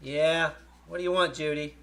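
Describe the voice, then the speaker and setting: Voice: medium pitch, steady tone, normal speed, a bit bright, lightly acted. An actor playing a gullible teenage boy, eager to please yet reluctant, in old-time radio comedy style. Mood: eager, wary. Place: live on a small theater stage.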